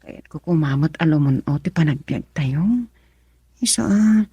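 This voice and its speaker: A woman's voice: low voice, lively intonation, moderate pace, warm tone, breathy edge, dry recording, heavily acted. A woman with an Ilocano accent playing a wife and mother intent on working abroad, in a radio drama. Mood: earnest.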